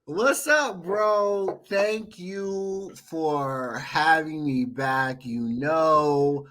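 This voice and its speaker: A man co-hosting a podcast with a head cold, sounding nasal and congested, telling stories in an animated, jokey way. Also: a little high, very slow. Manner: animated storyteller, jokey and expressive. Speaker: podcast co-host. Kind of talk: casual podcast chat.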